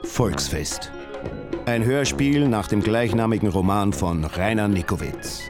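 A man's voice: on the low side, expressive, a little slow, loud music behind the voice. A man reading formal scripted radio drama credits as an announcer.

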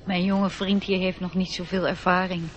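A woman voicing a calm, knowing, gently commanding fairy spirit in a radio drama. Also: lowish voice, moderate pace, a crowd in the background, lightly acted.

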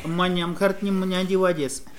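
A man interpreting a sermon phrase by phrase, quick and hesitant, with fillers. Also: high-pitched, steady tone, slight background noise.

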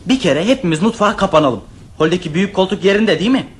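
A man's voice: high-pitched, lively intonation. An actor playing a sly, bossy young brother who plots with his siblings. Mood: scheming. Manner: conspiratorial, quick-witted. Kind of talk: radio drama acting.

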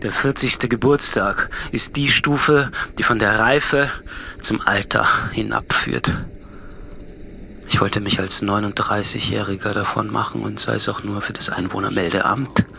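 Brooding loner guy, deep creaky voice, measured radio drama monologue, music behind. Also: steady tone, pushed and bright, a little hiss or hum, full character voice. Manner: measured, introspective, often dropping to a murmur. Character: brooding, solitary thirty-nine-year-old man, coolly analytical.

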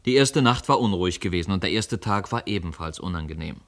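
Male narrator, literary radio play: deep register, scripted storytelling. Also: lively intonation, fast, fairly bright tone.

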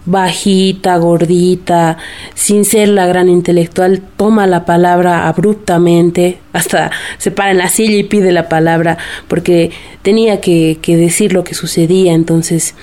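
A woman's voice: on the low side, steady tone, unhurried, mellow. A woman giving a spontaneous, explanatory interview answer as a researcher, feeling for her words. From Bolivia.

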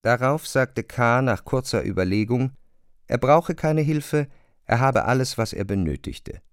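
A man narrates a literary radio play in a measured, deliberate way. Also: medium pitch, animated, clean tone, no echo. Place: studio.

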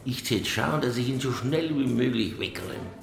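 An actor playing a stubborn, world-weary veteran homicide detective in a radio play, with a gravelly voice, a Bavarian accent and a laconic manner. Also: male voice, mid-range voice, expressive, normal speed, reverberant. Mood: gruff, insistent. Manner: laconic, dry, unbothered. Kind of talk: radio drama acting.

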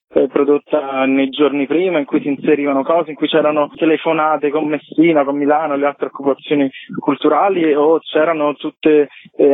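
A man's voice: on the high side, dry recording. A man speaking informally and reflectively as a guest in a radio interview. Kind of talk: spontaneous radio interview talk. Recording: thin dry phone-like radio line.

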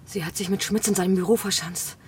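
A female actor plays a worried colleague in a radio drama. She speaks urgently in a medium-pitched voice, with music clearly playing behind her. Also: fairly even intonation, fast, natural voice. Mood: anxious. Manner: urgent, concerned.

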